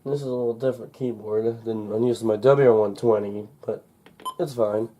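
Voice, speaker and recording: A man from the United States, recovering from a cold and slightly congested, demonstrating a gadget in a halting, rambling way, muttering to himself. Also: fairly low pitch, steady tone, mellow. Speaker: gadget unboxing video maker. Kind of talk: casual demonstration while handling a device. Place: indoors at a wooden desk in a room. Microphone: camcorder held or set near the desk.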